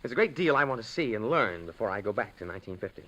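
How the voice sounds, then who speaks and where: An animated, earnest 1950s radio hero. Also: male voice, on the low side, very fast. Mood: eager. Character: earnest young man time traveler from 1950. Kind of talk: 1950s radio drama acting. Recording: muffled old radio recording.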